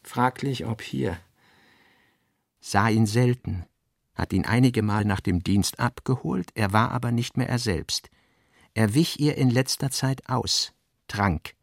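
A man giving a scripted literary narration, reading out notes and drafts in deliberate fragments with pauses. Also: mid-range voice, creaky, dry recording, studio quality. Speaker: radio drama narrator.

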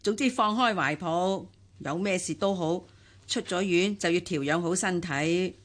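An actress plays a kindly housemaid in a Hong Kong Cantonese radio drama. Her voice is on the low side, with a chatty, fussing and soothing manner toward her mistress. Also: a little slow, natural voice. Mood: concerned, soothing.

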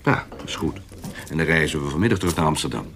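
An actor playing a steady, reassuring lover and adventurer in a historical audio drama. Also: male voice, very deep voice, fast, full character voice. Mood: reassuring, resolute. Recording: clear background noise and clicks.

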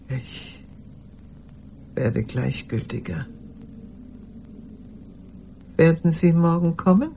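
An older woman acting a formal, lonely old woman in a radio play monologue, speaking in a measured, deliberate way. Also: lowish voice, mellow, slight background noise. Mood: subdued, weary.

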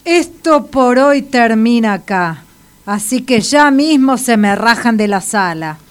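An actress with an Argentine accent playing a stern, no-nonsense hospital doctor in a comic radio play. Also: female voice, on the high side, expressive, takes it slowly, smooth. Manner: firm, authoritative. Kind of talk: comic radio theatre acting.

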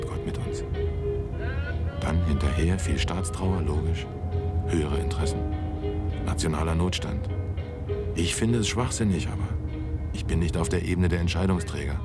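Actor as low-voiced, cynical undercover agent. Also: male voice, natural voice. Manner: sardonic, clipped muttering. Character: cynical, world-weary male undercover agent. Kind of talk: radio drama monologue, muttering to himself.

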